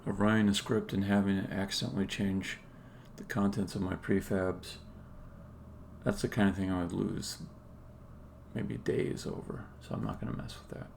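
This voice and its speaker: Gravelly low-voiced man muttering as he codes. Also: fairly even intonation, moderate pace, a little mellow, slight background noise. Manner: muttering to himself while working. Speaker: indie game developer live streamer. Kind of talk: casual live-coding commentary.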